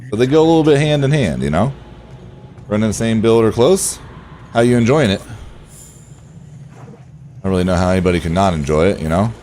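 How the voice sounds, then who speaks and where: A man with a low, relaxed voice talks casually through a game livestream. He thinks aloud and chats directly to his viewers, with clear background noise behind him. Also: fairly quick, long pauses. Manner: chatty, thinking aloud, addressing viewers by name. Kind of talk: casual livestream chat over gameplay.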